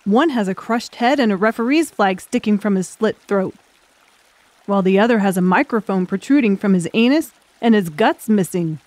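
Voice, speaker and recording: Female audiobook narrator, medium-pitched voice, scripted dramatic storytelling. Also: expressive, moderate pace, a little mellow, no echo.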